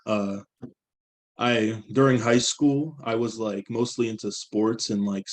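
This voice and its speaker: A man's voice: lowish voice, darkish tone. A young man from the Bay Area giving a class presentation, casual and loose with many fillers. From San Francisco Bay Area. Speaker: student presenter.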